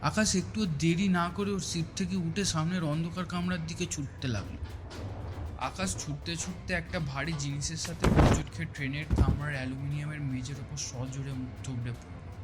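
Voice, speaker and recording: A man's voice: fairly high pitch, fairly even intonation, moderate pace. A man giving a scripted narration of a horror story. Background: music.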